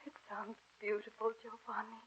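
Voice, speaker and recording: A woman's voice: a little high, little pitch movement, normal speed, fairly bright tone, husky. An actress playing a fragile, lovesick young woman in a melodramatic 1940s radio drama. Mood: tender, wondering. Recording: dull 1940s radio recording, background music.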